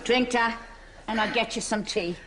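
A woman's voice: fairly high pitch, moderate pace, heavy background noise, close to an everyday voice. A woman playing a kindly, dithery older neighbour with a Dublin accent in a sitcom. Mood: fussing concern. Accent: Dublin Irish. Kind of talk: sitcom acting.

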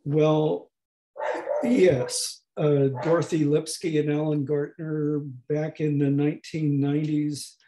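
A man in his eighties lecturing slowly over a video call, with a hoarse, gravelly voice that is not fully distinct and that often pauses and restarts. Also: fairly high pitch, flat delivery, mellow, poor audio. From United States. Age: 80s. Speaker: professor emeritus giving a talk. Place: home room at a desk. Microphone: webcam on a video call.

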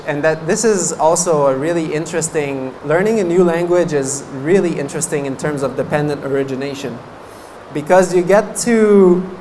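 Relaxed French-Canadian-born monk teaching. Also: male voice, fairly high pitch, slight background noise, rough recording. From French-speaking Canada. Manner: relaxed and chatty, laughs often, many fillers; gestures with his hands while talking. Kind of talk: dharma talk at a meditation course. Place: meditation hall, seated before a banner. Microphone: stand mic in front of him.

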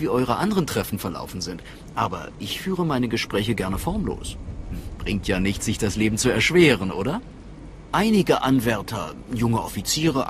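A man's voice: mid-range voice, slight background noise, natural voice. A man playing an affable, shrewd major in a video game, with an informal, conversational manner. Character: affable, shrewd military major in secret order. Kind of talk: video game cutscene acting.

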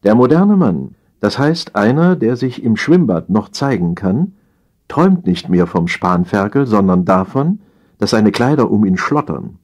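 Male audiobook narrator for comic prose: low, slightly rough voice, theatrical delivery. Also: expressive, measured pace, a little mellow. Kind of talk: scripted humorous story narration.

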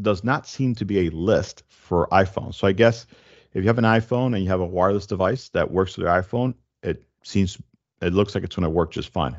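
A man in his forties hosting a casual tech explainer, speaking in a halting, stop-and-start way into a close desk microphone. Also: sits low, highs cut off. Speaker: tech show host. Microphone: desk condenser microphone, close, with headset.

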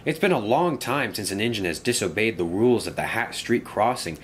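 A man's voice: fairly low pitch, animated, fairly quick, a little hiss or hum, natural voice. A man voicing an earnest, superstitious construction vehicle in a children's story, giving foreboding warnings.

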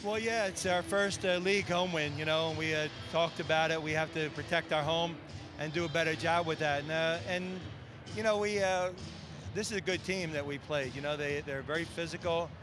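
An older man, a head coach, giving halting postgame answers full of fillers on the arena floor. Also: fairly high pitch, steady tone, music in the background. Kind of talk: postgame interview.

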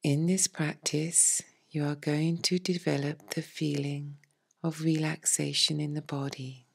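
Slow, low, breathy woman guiding meditation. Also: level tone. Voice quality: soft, breathy, near-whispered. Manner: soothing, hushed, measured phrasing with long pauses. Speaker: yoga nidra meditation teacher. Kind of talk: guided meditation narration.

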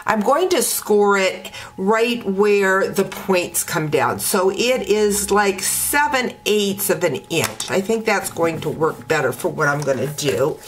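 A woman teaching card making step by step at her craft table, talking in a relaxed way with many ums and uhs. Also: medium pitch. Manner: slow, relaxed talk with frequent ums and uhs.

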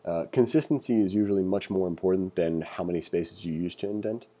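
Guy walking thru code casually, really mellow dark low voice, kinda thinking out loud. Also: brisk. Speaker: coding instructor. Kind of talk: informal tutorial read-along narration.

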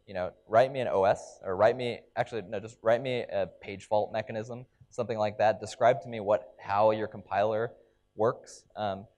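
A man's voice: on the low side, darkish tone. A young man giving a conference keynote on a large stage into a headset mic, explaining ideas with frequent ums and restarts. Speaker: keynote speaker.

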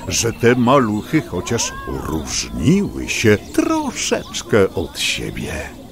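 A man narrating a scripted children's story in a theatrical, whimsical, mock-lofty storyteller's manner. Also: mid-range voice.

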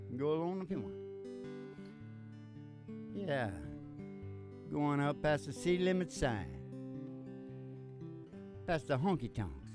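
A man in his sixties hosting with playful, theatrical, rambling folksy comic radio patter into a stage microphone. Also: high voice. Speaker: host and country singer. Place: small curtained stage, indoors. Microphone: stand microphone. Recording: live stage, acoustic guitar behind. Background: acoustic guitar.

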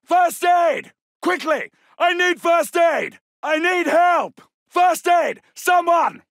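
An actor playing a brisk, gallant British Second World War soldier in a video game, with a clipped, commanding and formal manner. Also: male voice, very high-pitched, animated, vocal fry, fairly bright tone, no room sound, raised voice, studio quality, exaggerated voice. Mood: urgent, pleading. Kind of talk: military game battle barks.

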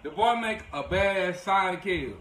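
A man's voice: sits high, animated, moderate pace, a bit bright, far from the mic. A man trading casual, joking banter as a co-host, brash, slangy and profane. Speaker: cooking show co-host. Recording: echoey room with clear background noise.

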